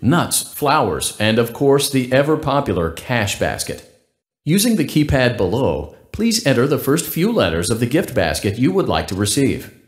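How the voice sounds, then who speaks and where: A man playing a blandly polite, cheerful robotic workplace guide in a game, reading scripted announcements with upbeat corporate formality and deadpan delivery. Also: medium pitch, echoey room, close to an everyday voice.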